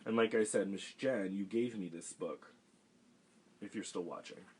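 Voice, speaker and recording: A man reading a picture book aloud for a children's storytime, animated and expressive. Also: on the low side, normal speed, husky.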